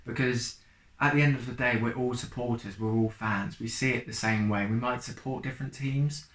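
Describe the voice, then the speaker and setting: A man's voice: mid-range voice, fairly even intonation, moderate pace, a bit bright, reverberant, rough recording. A man in his twenties, a football fan, speaking reflectively in a conversational documentary interview. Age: 20s.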